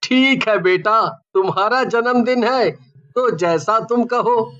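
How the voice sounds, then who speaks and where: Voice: mid-range voice, slow, fairly bright tone, dull audio. An actor voicing a caring father of a young boy in a children's horror cartoon. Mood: affectionate.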